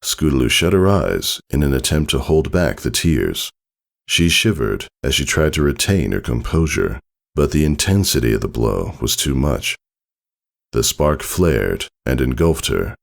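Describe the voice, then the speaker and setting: A man narrating a scripted story dramatically, in a solemn and measured manner. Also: extremely low pitch, sing-song, vocal fry. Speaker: fan fiction narrator. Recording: soft music behind the voice.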